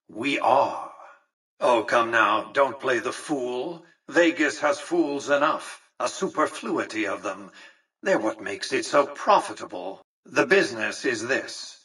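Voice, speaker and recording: An actor playing a haughty, calculating ancient tycoon in a video game, speaking in a pompous, precise, condescending way. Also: male voice, mid-range voice, pushed and bright, slightly rough, far from the mic, exaggerated voice. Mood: disdainful. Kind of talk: scripted video game dialogue.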